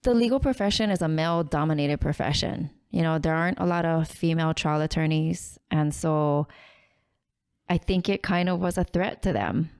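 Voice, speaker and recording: A woman's voice: low-pitched, moderate pace, a little mellow, smooth. A woman defense attorney from Hawaii, talking in a podcast studio and explaining legal matters in a confident, direct way. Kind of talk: casual interview conversation. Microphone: studio microphone with headphones.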